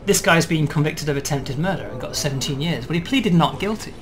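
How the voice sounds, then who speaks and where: Slightly high-voiced man explaining a game. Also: a little fast, crowd noise. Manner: explanatory, frequent "right?" and "um". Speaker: game developer demoing.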